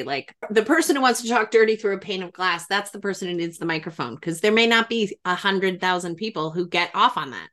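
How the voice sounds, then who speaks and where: A woman's voice: medium pitch, fairly bright tone. A woman interviewing on a podcast, talking in an earnest, emphatic and explanatory way. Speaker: podcast interviewer, grief professional. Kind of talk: reflective interview talk.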